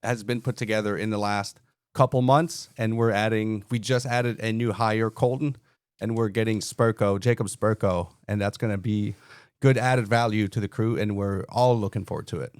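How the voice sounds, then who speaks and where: A man's voice: fairly low pitch, fairly even intonation, normal speed, smooth, dry recording, clean studio sound. Man with a Quebec French accent. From Montreal, Canada. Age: 40s. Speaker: firefighter-paramedic guest. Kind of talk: podcast interview.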